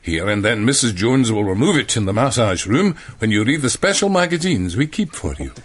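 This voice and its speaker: A man's voice: medium pitch, big pitch swings, brisk, slightly rough. A man voicing a businesslike Scottish woman beautician in a comic storybook.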